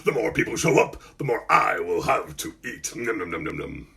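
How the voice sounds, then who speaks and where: A man voicing a huge, hungry male dragon with a deep, rumbling voice and a slow, menacing delivery. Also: big pitch swings, creaky, pushed and bright, husky, full character voice.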